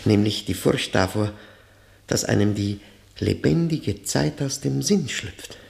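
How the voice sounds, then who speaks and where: An actor in a German radio play playing an embittered life prisoner, brooding and haunted, with a gravelly voice and deliberate, weighty delivery. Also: male voice, on the low side, animated, a little mellow, full character voice. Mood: haunted dread. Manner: deliberate, halting, repeating words with grim weight. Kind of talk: German radio play acting, dramatic monologue.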